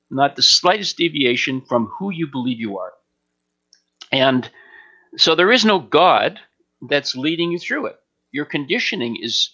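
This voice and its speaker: Bright-voiced man teaching, slightly dull audio. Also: mid-range voice. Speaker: spiritual teacher answering questions. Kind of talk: spontaneous spiritual Q&A talk. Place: live online stream.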